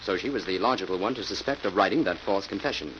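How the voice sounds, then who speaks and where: Confident crime-fighter, bright forceful voice, deliberate, assured, golden-age radio drama, muffled old recording. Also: male voice, low-pitched. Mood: assured, explaining. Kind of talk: 1940s radio acting. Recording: dull, faintly noisy old radio recording.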